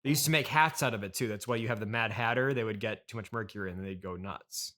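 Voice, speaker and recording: A man's voice: on the low side, fast talker, bright, forceful tone. A man hosting a casual comedy interview, asking probing questions in a hesitant, straight-man way. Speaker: podcast host, interviewer. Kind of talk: casual improvised comic interview.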